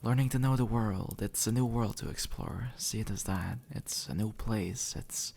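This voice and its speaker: A man playing an easygoing, centuries-old demon who was once human, speaking gently and casually to the listener in an intimate audio roleplay. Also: fairly low pitch, moderate pace, vocal fry. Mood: casual, teasing. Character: easygoing two-century-old male demon, formerly human, reassuring and teasing.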